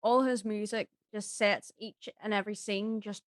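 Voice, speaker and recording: A woman talks casually on a podcast in a medium-pitched voice. She is informal and full of admiration, with a close, dry sound. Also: fairly even intonation, normal speed, dry recording.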